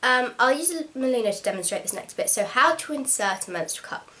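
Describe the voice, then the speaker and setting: A woman's voice: high-pitched, lively intonation, brisk, bright, forceful tone. Chatty young woman with a cold. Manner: chatty, frank and practical, with frequent ums. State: slightly hoarse from a cold. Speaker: vlogger. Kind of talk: casual instructional vlog.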